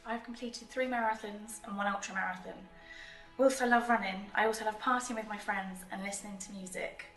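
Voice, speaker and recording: A woman's voice: mid-range voice, steady tone, fairly quick, a bit bright, soft background music. A woman in her thirties giving a composed scripted testimonial. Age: 30s. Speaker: employee sharing her story. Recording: distant roomy video recording.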